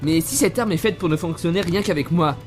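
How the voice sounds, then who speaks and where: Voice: medium pitch, brisk, faint music behind, lightly acted. An actor playing an ordinary, curious young man of twenty-three in an amateur audio drama, hesitant and unassuming. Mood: insistent.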